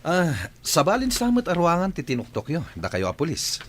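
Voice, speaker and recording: Radio drama role: slippery, protesting husband, very animated delivery. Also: male voice, on the high side, brisk. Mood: puzzled. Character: evasive, defensive cheating husband in radio drama.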